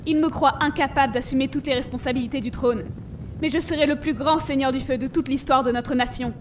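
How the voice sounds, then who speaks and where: A woman's voice: high voice, fairly even intonation, brisk, slight background noise, close to an everyday voice. A woman playing a cruel, paranoid teenage princess in a cartoon fan dub, commanding and contemptuous, recorded at some distance. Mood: suspicious, haughty. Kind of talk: animated series fan dub.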